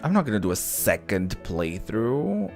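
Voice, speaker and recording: A man doing casual let's-play commentary, thinking out loud with some mild swearing over background music. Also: on the low side, sing-song, unhurried, creaky. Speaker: gaming host. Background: background game music.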